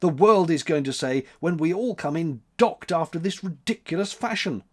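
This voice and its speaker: A man voicing a blustering, wild storybook fellow who complains loudly and indignantly. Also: a little high, lively intonation, moderate pace, pitched above the performer's own voice. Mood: grumbling. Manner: loud, grumbling, blustering. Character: blustering, wild, indignant male month March.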